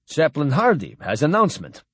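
A man's voice: fairly high pitch, wildly swooping pitch, brisk, fairly bright tone, breathy edge, no echo, heavily acted. A man voicing a proud, formal old admiral who speaks in clipped phrases without articles. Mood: ceremonious. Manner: clipped speech dropping articles.

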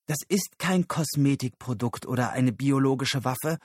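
A man's voice: medium pitch, unhurried, breathy edge, no echo, studio quality, pitched above the performer's own voice. A man voicing a furious, combative woman with agitated, emphatic outbursts, in an audiobook story. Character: furious, combative woman activist, fiery and urgent.

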